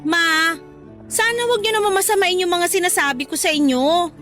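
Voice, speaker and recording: An actress playing an outspoken, practical grown child in a Filipino radio drama, frank and pleading but respectfully worded. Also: female voice, very high voice, normal speed, fairly bright tone. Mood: earnest, reproving. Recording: music playing under the dialogue.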